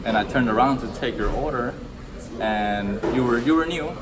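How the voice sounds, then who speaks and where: A man's voice: mid-range voice, moderate pace, far from the mic, very noisy. A man in his twenties being interviewed in a busy restaurant, talking earnestly with many fillers and restarts. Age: 20s. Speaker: interviewee, burger restaurant supervisor. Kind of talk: casual street interview. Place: busy burger restaurant, indoors. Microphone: phone held by interviewer.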